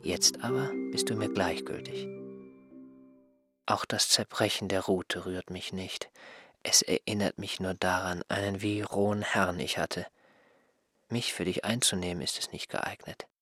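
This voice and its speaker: An actor playing Jeremias, a tired and sullen former assistant, who speaks in a cold, dismissive way in a radio play. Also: male voice, low voice, steady tone, unhurried, pushed and bright, breathy edge, no echo, clean studio sound, faint music behind, heavily acted. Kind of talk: German radio play acting.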